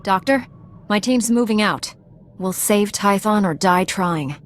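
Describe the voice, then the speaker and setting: An actress playing a determined, heroic Jedi Knight in a video game, with a firm delivery. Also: female voice, medium pitch, lively intonation, measured pace, close to an everyday voice. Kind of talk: scripted video game acting.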